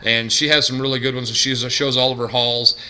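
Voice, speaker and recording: Monotone man with frequent uhs. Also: fairly quick. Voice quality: bassy. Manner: monotone, droning delivery with many fillers. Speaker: couponing YouTuber. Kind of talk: casual vlog shout-outs.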